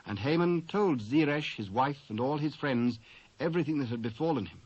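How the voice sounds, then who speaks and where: A man's voice: medium pitch, moderate pace, darkish tone, breathy edge, no echo. A British man narrating scripture from a script, as the storyteller in a dramatised reading. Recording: dull lo-fi cassette audio.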